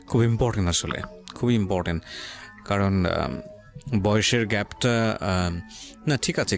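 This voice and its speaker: A man with a Bangladeshi accent hosting a radio show, questioning and advising listeners, mixing in English phrases. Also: on the low side. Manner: mixes English phrases into speech. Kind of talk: interviewing and commenting to listeners.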